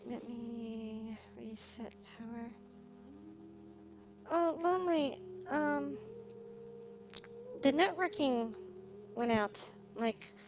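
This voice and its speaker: A woman livestreaming a game, chatting casually and a little hesitantly to her viewers. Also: fairly high pitch. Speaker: livestreamer, vtuber. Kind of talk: casual livestream chat while gaming. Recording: clearly noisy, humming background.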